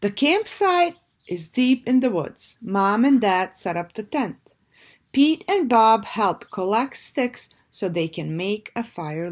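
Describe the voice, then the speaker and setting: A woman reading a children's storybook aloud in a deliberate, expressive way, like a teacher addressing young listeners. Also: mid-range voice.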